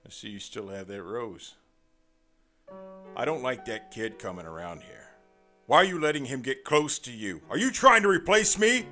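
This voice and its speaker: A man's voice: mid-range voice, expressive, normal speed. A man voicing a corrupt police detective, a desperate, possessive lover who pleads intensely, over an audio drama's music. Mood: defensive, angry. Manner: pleading and intense, swinging from hushed to shouting.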